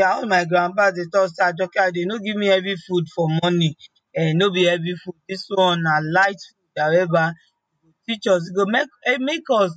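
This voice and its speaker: A woman's voice: on the low side, a bit bright, dry recording, low-quality recording. A woman with a Nigerian accent hosting a morning radio show, chatty and playful, with many "eh" fillers. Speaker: radio host. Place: radio studio. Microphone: studio microphone.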